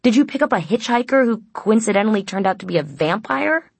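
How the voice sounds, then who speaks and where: A woman's voice: mid-range voice, very slow, no room sound, voice pushed up. A woman voicing an exasperated, sarcastic teenager in a novel, with a biting, incredulous delivery. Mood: incredulous sarcasm.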